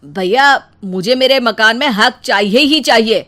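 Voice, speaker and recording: A woman's voice: a little high, measured pace, sharp tone. A woman voicing a demanding, cold younger brother in a moral story. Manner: insistent, cold. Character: demanding, heartless younger brother in family quarrel.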